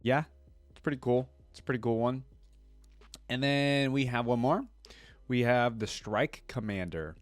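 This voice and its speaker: A man's voice: mid-range voice, a little slow, long pauses, no room sound, faint music behind. A man hosting a reaction video, chatting casually and thinking aloud in an informal way.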